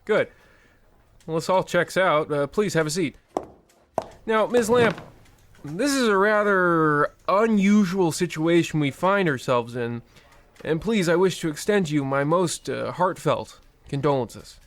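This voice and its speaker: A man's voice: fairly high pitch, expressive, measured pace, a little hiss or hum. A man acting as an officious, callous bank loan officer in a comedy radio play, fussy and blustering.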